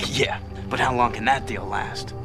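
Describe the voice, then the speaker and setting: A man's voice: medium pitch, fairly even intonation, measured pace, lightly acted. Guy playing tough young game hero, mid voice, blunt and defiant, music behind. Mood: defiant, sardonic. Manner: blunt, confrontational. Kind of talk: video game cutscene acting.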